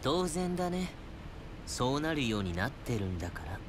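Man as cool, mid-pitched enigmatic young seer. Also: sing-song, normal speed, noisy background, natural voice. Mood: calm. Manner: cool, detached, knowing. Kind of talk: anime-style video game acting.

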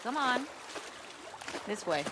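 A woman's voice: a little high, very animated, slow, heavy background noise. An actress playing a frank, teasing young woman on patrol in a naturalistic video game drama. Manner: teasing, playful, blunt.

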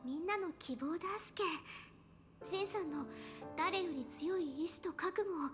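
A kind young android girl speaks in a very high, soft voice with a lilt. Her manner is polite and caring. Score music plays behind her in this game cutscene. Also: moderate pace. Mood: gentle, caring.

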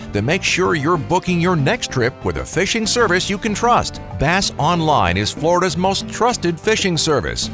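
A man delivers polished, upbeat commercial voice-overs and sponsor reads. His pitch sits a little high and swings a great deal. Guitar music plays clearly beneath him. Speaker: show announcer. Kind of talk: scripted commercial voice-over.

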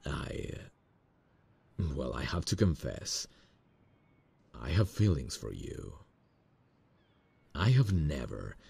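A man playing a nervous, lovestruck boss in an intimate romantic ASMR roleplay, speaking hesitantly and tenderly in a husky voice. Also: exaggerated voice. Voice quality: very deep, gravelly, husky. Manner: hesitant, slow, soft-hearted. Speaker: voice actor in romantic audio roleplay.

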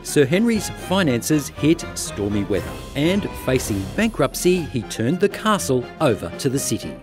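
A man's voice: mid-range voice, very animated, moderate pace, over music. Upbeat man narrating travel. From Australia. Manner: upbeat, playful wordplay. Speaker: travel show host.

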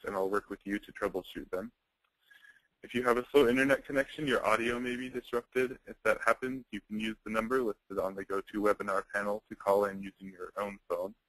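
Man, webinar host, scripted housekeeping notes, lowish voice, dry close audio. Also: no echo, rough recording. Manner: scripted, even introduction with some fillers. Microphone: computer mic on a webinar call.

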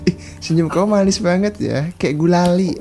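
A man's voice: high-pitched, moderate pace, gravelly, warm tone, soft background music. A young man in his twenties from Yogyakarta, chatting playfully and teasing strangers on a video call from his bedroom, laughing often. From Yogyakarta, Indonesia. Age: 20s. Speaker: video chat host. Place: small bedroom with posters. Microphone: webcam video call.

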